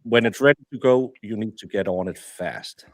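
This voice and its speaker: A man with a Danish accent, the guest baker, who talks in a deadpan, hesitant way. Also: on the low side, normal speed, no room sound, clean studio sound.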